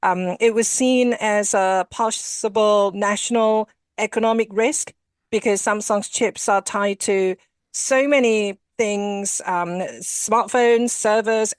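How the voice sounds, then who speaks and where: A woman journalist giving measured analysis in a news interview, pausing often with small fillers. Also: mid-range voice, fairly even intonation, smooth, no room sound, clean studio sound. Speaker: journalist correspondent.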